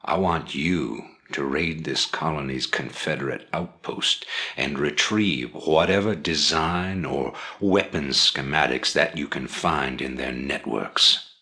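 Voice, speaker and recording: An actor playing a calculating rebel leader in a video game, giving briefings in a measured, formal, authoritative way. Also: male voice, very deep voice, bright, forceful tone, full character voice. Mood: grave, commanding. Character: commanding, calculating older male rebel leader.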